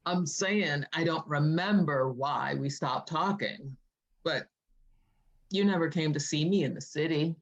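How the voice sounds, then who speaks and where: A woman's voice: sits low, unhurried, highs cut off. A woman playing a witty, teasing poet visiting an old lover in a script read, with an American accent and slangy, playful delivery. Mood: teasing, reflective. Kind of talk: informal screenplay table read.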